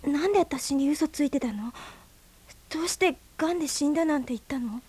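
A woman as an earnest, high-voiced young girl. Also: brisk, darkish tone, natural voice. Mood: hurt, bewildered. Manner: polite, questioning speech to elders in dialogue. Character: earnest young girl, anxious niece narrating her own story. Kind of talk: Japanese radio drama acting with first-person narration. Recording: 1990s FM radio drama on cassette.

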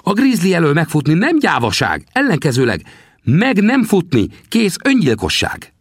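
A man's voice: on the high side, wildly swooping pitch, breathy edge, no echo, clean studio sound, voice pushed up, heavily acted. A man voicing a wily, chuckling little old male frontier trapper who talks in a teasing, folksy, boastful way. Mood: warning. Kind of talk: audiobook character voice.

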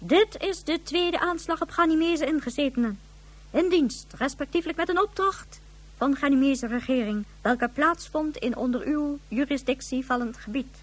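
An actress playing an indignant official of the Ganymede government in a science fiction radio play, formal and haughty in manner. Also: female voice, high-pitched, moderate pace, natural voice. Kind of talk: radio drama acting.

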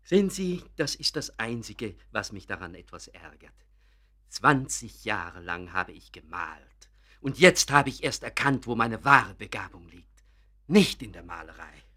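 A man's voice: on the low side, sing-song, measured pace, sharp tone, heavily acted. A man playing a fervent, idealistic amateur artist in a German radio play, effusive, solemn and self-important.

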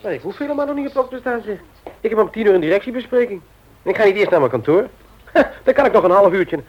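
Dull-sounding, animated grumbling family man. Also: high voice, fast. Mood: playful grumbling. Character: grumbling but affectionate middle-aged husband and father, office man. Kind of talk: Dutch radio play acting, domestic scene. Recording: dull, lo-fi old radio recording.

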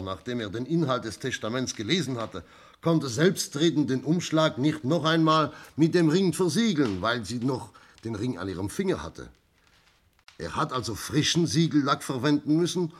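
A man playing a shrewd, self-assured police inspector in a 1960s radio crime drama, explaining things theatrically with emphatic pauses. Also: medium pitch, breathy edge. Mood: measured, pointed. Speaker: actor in a radio play.

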